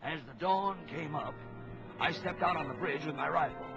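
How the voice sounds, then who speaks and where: A man's voice: on the high side, normal speed, creaky. A man playing an authoritative ship's captain who narrates a suspenseful animal adventure for a children's story record. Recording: old lo-fi children's record, music behind.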